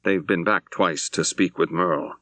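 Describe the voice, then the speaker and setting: A man voicing a troubled senior bishop in an audiobook, speaking in a formal, hesitant, deliberate way. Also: deep voice, steady tone, pushed and bright, no room sound. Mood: careful, troubled.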